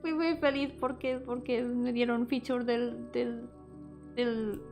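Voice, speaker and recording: High-voiced giggly woman streamer, music behind. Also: flat delivery, a little slow, a little mellow. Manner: giggly, effusive, often trailing off and repeating words. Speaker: VTuber streamer and indie game developer. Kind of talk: casual livestream chat. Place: indoor solo livestream with music behind the voice.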